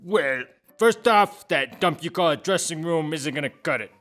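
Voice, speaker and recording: A man's voice: a little high, animated, normal speed, fairly bright tone, faint music behind. A man playing a grumbling, cocky, demanding celebrity co-host in brash, sarcastic comic banter.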